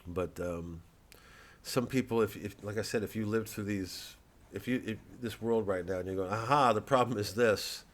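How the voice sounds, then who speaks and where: A man's voice: lowish voice, steady tone, normal speed, a little mellow. A man, a comedian, talking casually to camera in a rambling way, with stammering restarts and free swearing.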